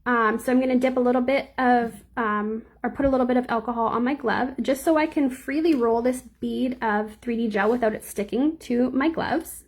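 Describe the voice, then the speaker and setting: A young woman with a slightly squeaky voice, chatting casually through a live nail art tutorial and answering viewers as she works. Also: normal speed, few pauses. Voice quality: a little squeaky.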